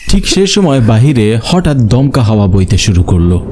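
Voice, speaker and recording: A man's voice: mid-range voice, big pitch swings, brisk, a little hiss or hum. A man narrating a scripted horror story in a dramatic, suspenseful storytelling manner. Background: loud instrumental music.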